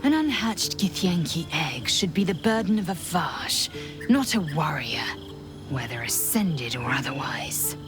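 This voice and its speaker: A woman's voice: deep voice, very animated, measured pace. An actress playing a proud, fierce alien warrior woman in a video game, imperious and guarded. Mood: guarded, solemn. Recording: music behind the voice.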